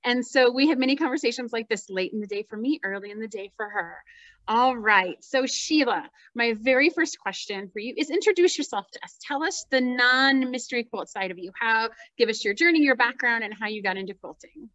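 A woman's voice: fairly high pitch, expressive, a little fast, a bit bright, no room sound. A woman in her thirties hosting an interview over a video call, upbeat and enthusiastic, laughing along with her guest. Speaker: host. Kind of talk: casual interview chat. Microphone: video call webcam. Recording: dry, dull lo-fi video call audio.

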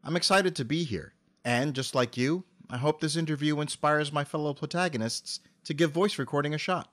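A man speaking as an interview guest, giving prepared answers in an articulate, measured way. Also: on the high side, a bit bright. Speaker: interview guest, amateur voice actor and animator.

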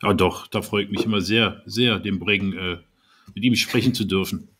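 A man's voice: on the low side, normal speed, fairly bright tone, a little hiss or hum. A man co-hosting a podcast over a video call, agreeable, often backing the others up. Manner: agreeable, backs up others with frequent "genau". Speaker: podcast co-host. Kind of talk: casual chat. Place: home room on a video call.

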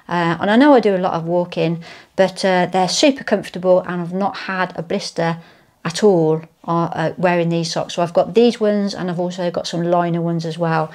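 A woman's voice: on the low side, a little mellow. A woman, chatting casually to camera at home about hiking gear, with many fillers and restarts. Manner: chatty, self-deprecating, lots of fillers and restarts. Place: living room at home, indoors.

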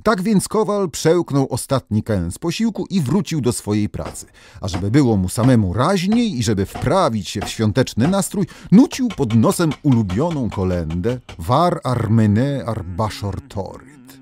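A man narrating folk legends in an expressive, theatrical storytelling manner. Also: mid-range voice, measured pace, a little hiss or hum.

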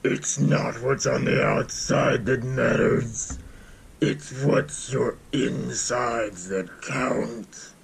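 Man doing a gravelly, sinister showman robot. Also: medium pitch, fairly even intonation, extremely slow, a little hiss or hum, exaggerated voice. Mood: slow menace. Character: theatrical, sinister showman animatronic.

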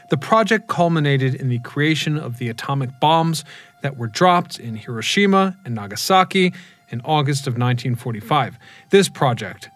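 A man in his thirties giving scripted documentary narration as a history channel host, in a measured, explanatory way, recorded in a dark studio on a boom mic. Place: dark studio with neon sign.

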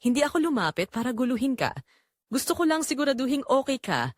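A woman voicing a quiet, remorseful husband, earnest and tender, in an audio drama. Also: high-pitched, big pitch swings, quick pace, dry recording, pitched above the performer's own voice. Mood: earnest regret. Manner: earnest, apologetic.

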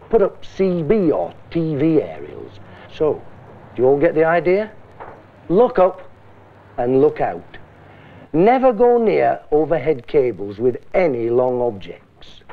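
A man's voice: medium pitch, gravelly, a little hiss or hum, full character voice. A man playing a stern, blunt middle-aged British science teacher, questioning and warning a class in a safety film. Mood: grave warning. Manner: emphatic, questioning, admonishing. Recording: muffled, thin old public information film.

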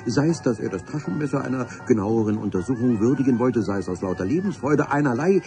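An actor playing the wry, literate narrator of an adventure radio play, telling it in an ornate, deliberate way. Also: male voice, medium pitch, lively intonation, dark tone, faint music behind, close to an everyday voice.

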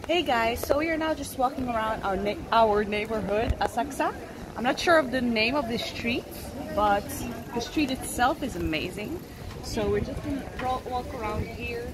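A woman's voice: on the high side, expressive, normal speed, noisy background. A young Dutch-speaking woman from the Netherlands vlogging enthusiastically outdoors on a handheld camera. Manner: chatty, enthusiastic, talking while walking outdoors. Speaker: travel vlogger. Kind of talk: casual travel vlog narration. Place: outdoors in parks and streets.

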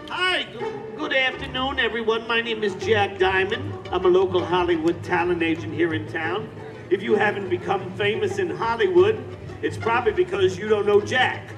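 A slick Hollywood talent agent, played by a man who sells himself to the crowd, with a fairly high voice. Music plays behind him. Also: normal speed, lightly acted, rough recording.

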